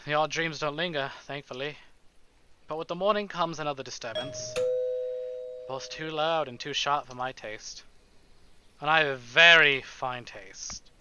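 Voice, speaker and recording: A man's voice: on the high side, unhurried, long pauses, pushed and bright, clean tone, no room sound, low-quality recording. A man live-streaming a visual novel, reading it aloud dramatically with casual, joking, sweary asides, over music. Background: game music.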